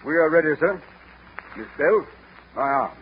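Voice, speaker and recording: A man playing a courtly, uneasy Southern gentleman in a 1940s style radio drama. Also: on the high side, expressive, normal speed, slight background noise, close to an everyday voice. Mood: courtly, wary. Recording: muffled vintage radio broadcast.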